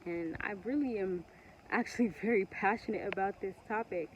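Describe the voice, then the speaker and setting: A woman from Togo whose first language is Ewe, talking earnestly and passionately to camera with many pauses and restarts. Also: mid-range voice, a little hiss or hum, poor audio. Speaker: vlogger. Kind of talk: casual monologue to camera.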